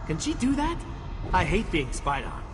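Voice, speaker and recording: An actor voicing a gallant, sentimental adventurer knight in a video game, wry and melodramatic. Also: male voice, high-pitched, wildly swooping pitch, normal speed. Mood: irritated. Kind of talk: video game character acting. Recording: noisy background ambience.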